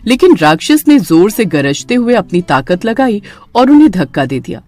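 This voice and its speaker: Animated woman narrating a scary story. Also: mid-range voice, moderate pace, faint music behind. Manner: dramatic storytelling. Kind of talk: scripted horror story narration.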